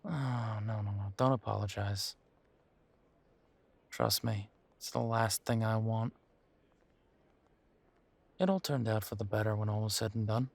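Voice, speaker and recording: Slow, low-voiced, wounded werewolf played by a man. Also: steady tone, creaky, a little mellow. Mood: sardonic, hurt. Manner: sardonic, hesitant, conversational. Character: bitter, wounded male werewolf confiding in his partner. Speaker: audio roleplay voice actor. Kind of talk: intimate audio roleplay acting.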